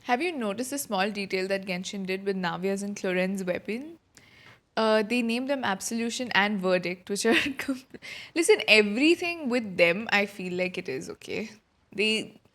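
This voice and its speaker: A woman's voice: mid-range voice, moderate pace, fairly bright tone. A woman streaming a game with casual, gushing, rambling commentary over game music.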